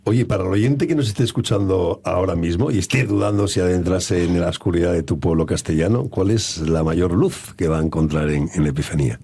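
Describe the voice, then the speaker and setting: A male radio host asking long, measured, winding questions in an interview. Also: low-pitched, creaky, mellow, slightly rough, dry recording.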